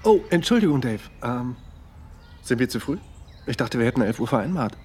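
A man acts out a young, sociable friend in a radio drama. He talks in a chatty, probing way at a middling pitch, teasing and nosy but concerned. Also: sing-song, a little fast, noisy background, lightly acted. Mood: friendly.

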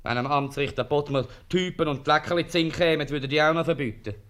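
An actor playing a mocking, complaining tailor in a radio comedy, jocular and teasing, with a Swiss accent. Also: male voice, a little high, quick pace, fairly bright tone, natural voice. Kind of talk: 1950s radio comedy acting.

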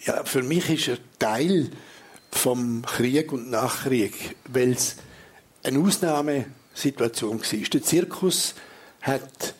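Older Swiss German man, husky and reflective. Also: medium pitch, slow pace. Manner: reflective, searching for words. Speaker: writer. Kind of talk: live talk-show guest, reminiscing. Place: theatre stage before an audience.

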